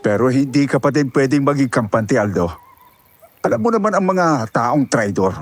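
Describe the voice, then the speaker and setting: A man's voice: medium pitch, lively intonation, normal speed, natural voice. A man playing a protective, cautious old grandfather who gives earnest advice in an audio horror drama. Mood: cautioning. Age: older.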